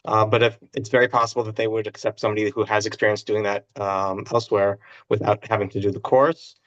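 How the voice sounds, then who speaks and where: A man, a family doctor on a webinar panel, reading out a question and then answering it haltingly over a video call. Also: fairly low pitch, level tone, a bit bright. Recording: dull lo-fi call audio.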